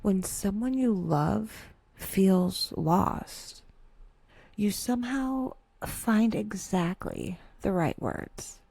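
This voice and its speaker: A woman narrating a scripted guided meditation with wry, dry humor and a soft, breathy delivery, leaving unhurried pauses. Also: medium pitch, very animated, dry recording.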